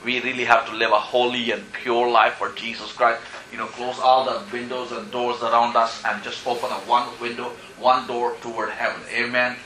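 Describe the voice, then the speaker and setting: Bright-voiced preacher man. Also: mid-range voice, level tone, moderate pace, low-quality recording. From Pakistan. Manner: earnest, peppered with "you know" and calls of "Amen?". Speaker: pastor. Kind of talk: sermon.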